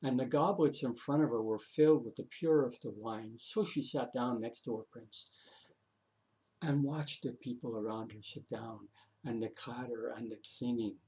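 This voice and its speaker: A man with a mid-pitched voice tells an old folk tale aloud. He is dramatic and unhurried, repeats phrases for effect and slips in casual asides to his listeners. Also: steady tone, warm tone, low-quality recording. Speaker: storyteller. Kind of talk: oral folk tale storytelling.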